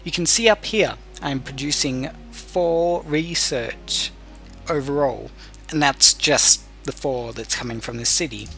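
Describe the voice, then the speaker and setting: A man's voice: mid-range voice, a little slow, vocal fry, bright, forceful tone, faint music behind. A man giving explanatory video game commentary as a tutorial host, patiently going step by step with some hesitation. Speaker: gamer hosting a let's play tutorial.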